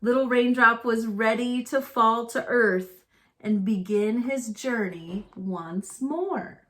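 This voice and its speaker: Woman, higher-pitched voice, children's read-aloud narration, slow and deliberate, storyteller for young listeners. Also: a bit bright. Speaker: storyteller reading a picture book aloud to children.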